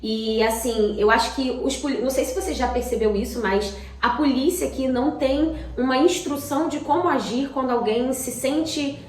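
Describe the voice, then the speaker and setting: A Brazilian woman co-hosting a casual talk, sharing personal experience in a halting way with repeated words. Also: sits high. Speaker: talk show co-host. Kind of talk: casual conversation, personal anecdotes. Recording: echoey room.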